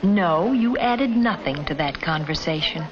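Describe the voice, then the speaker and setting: A woman's voice: deep voice, lively intonation, a little slow, crowd noise. A woman reading scripted narration for a 1950s educational film, addressing the listener directly in an admonishing way. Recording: dull vintage film soundtrack with music. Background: film score music.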